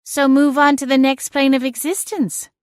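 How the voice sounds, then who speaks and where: A bossy talking cat with a high, pushy voice, as a woman narrator puts her on. She sounds bored and demanding. The recording is dry and close. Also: little pitch movement, normal speed, no room sound, close to an everyday voice.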